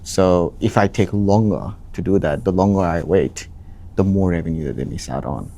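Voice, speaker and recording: A young man from Nepal, answering interview questions in a relaxed way, often restarting phrases with ums. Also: deep voice, normal speed, vocal fry, slight background noise. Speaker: interviewee, account manager.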